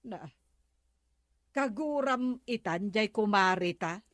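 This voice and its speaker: A woman's voice: mid-range voice, animated, takes it slowly, a bit bright, no room sound. A woman acting a nosy, teasing Ilocano neighbour, dry sound. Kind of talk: Ilocano comedy radio-drama acting.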